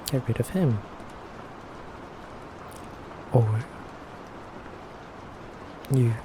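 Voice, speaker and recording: Male actor as elegant femboy vampire captor, ASMR roleplay: unhurried, hushed, courteous menace, deliberate pauses, audible background noise. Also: mid-range voice, lightly acted. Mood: politely menacing. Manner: soft-spoken, slow, deliberate, pausing often.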